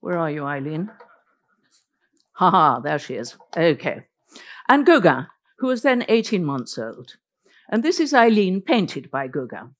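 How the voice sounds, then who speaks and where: A woman's voice: fairly low pitch, very animated, measured pace, long pauses. A woman whose first language is Norwegian, giving an illustrated lecture as a biographer, telling the story vividly with wry asides.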